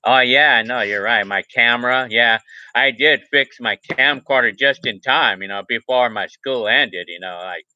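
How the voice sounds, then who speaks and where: A man's voice: mid-range voice, normal speed, pushed and bright, lo-fi sound, a little hiss or hum. A man in his forties on a casual livestream, reading viewer comments aloud and rambling in a repetitive way, restarting his phrases. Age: 40s. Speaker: livestream vlogger.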